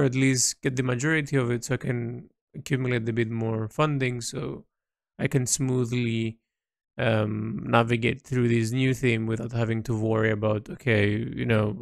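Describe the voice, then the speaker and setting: A man recording a reflective, unscripted audio diary, thinking aloud haltingly with repeats, in a creaky voice. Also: medium pitch, no room sound, studio quality. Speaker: painter recording an audio log.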